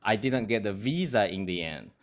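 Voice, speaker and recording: A young man from China, a guest artist chatting casually in a lively, halting English that is not his first language, laughing often. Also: on the low side. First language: Chinese. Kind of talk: casual interview chat.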